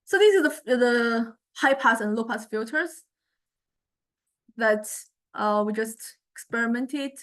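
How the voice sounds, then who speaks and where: A woman lecturer giving an informal software demonstration, hesitantly thinking aloud as she goes. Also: fairly high pitch, animated, a little slow. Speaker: music technology lecturer.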